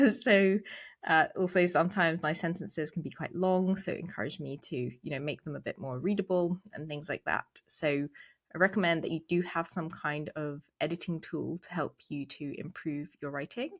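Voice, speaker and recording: Woman in her 30s, kinda low voice, chatty, lots of "so" and little laughs. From England. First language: Arabic. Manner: chatty, laughs often, frequent "so" and "like". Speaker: medical writer. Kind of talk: casual talk to camera.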